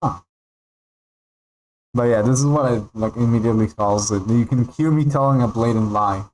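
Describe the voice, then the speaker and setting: A man from Peru whose first language is Spanish, chatting casually and excitably while streaming a game. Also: mid-range voice, a little hiss or hum, low-quality recording. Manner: rambling, excitable, reads out names with pauses. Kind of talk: casual livestream chat while gaming. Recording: faint background music.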